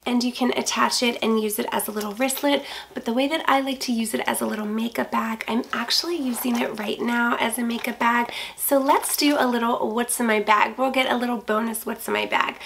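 A woman in her thirties talks with gushing enthusiasm from a small bright dressing room. Her voice sits a touch high and is raspy and gravelly from allergies. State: scratchy from allergies. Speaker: fashion vlogger. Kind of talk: chatty product review.

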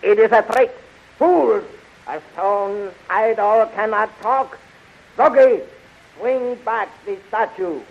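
An actor playing a cruel, imperious alien emperor in a pompous, commanding manner in a 1930s radio serial. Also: male voice, sits high, slow pace, full character voice. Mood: furious. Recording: dull, hissy 1930s radio recording.

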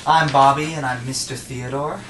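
A man's voice: mid-range voice, fairly even intonation, normal speed, a bit bright, a little hiss or hum. A young man casually reading stage directions aloud at a table read among friends. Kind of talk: slow casual script reading. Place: small living room, indoors. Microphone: handheld camera across the room. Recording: echoey, distant, group chatter.

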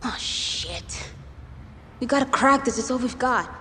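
A woman's voice: on the high side, lively intonation, measured pace. A woman playing a determined, loyal young American street racer in a game cutscene, speaking earnestly and insistently. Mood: urgent, determined. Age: young adult. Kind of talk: dramatic game cutscene dialogue. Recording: hall reverb, loud music behind.

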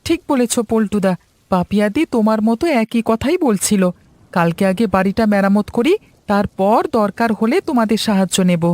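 A woman's voice: medium pitch, normal speed, darkish tone, no echo, natural voice. A woman voicing an earnest, polite and hardworking poor village boy in a cartoon story. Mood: agreeable.

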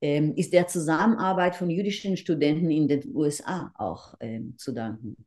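An older woman with a Hebrew background speaks into a laptop on a video call from home. Her voice sits low and sounds soft and mellow. She explains her points carefully, pausing and restarting often. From Israel. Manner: measured, with frequent hesitations and restarts. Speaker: interview guest. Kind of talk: earnest explanatory interview answers. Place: living room at home.

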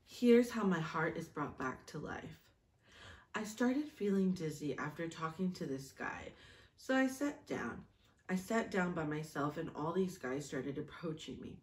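Low-voiced woman in an echoey room. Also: measured pace. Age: late 30s. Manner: expressive, giggly, self-interrupting. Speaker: vlogger mom reading her old journal. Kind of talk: reading a diary aloud with casual asides. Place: small indoor room, seated at a table. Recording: roomy echo, distant mic.

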